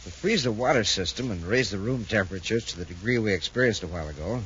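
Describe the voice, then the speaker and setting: Earnest doctor, low pitch, brisk, formal, 1950s radio drama, dull sound with faint noise. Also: male voice, expressive, a bit bright. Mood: concerned. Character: earnest, puzzled male hospital doctor and administrator. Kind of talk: 1950s radio serial acting.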